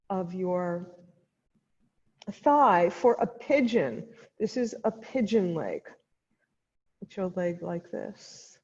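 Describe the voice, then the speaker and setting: A woman leading a guided chair yoga class as the instructor, giving gentle step-by-step cues with pauses, in a muffled, reverberant recording. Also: mid-range voice. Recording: dull sound in a long-reverb hall.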